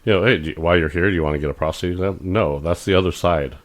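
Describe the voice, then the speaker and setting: Middle-aged male podcast co-host, extremely low voice, casual and rambling, stumbles and restarts. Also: racing. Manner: rambling, repeats words and restarts, animated when retelling doctors. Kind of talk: casual chat.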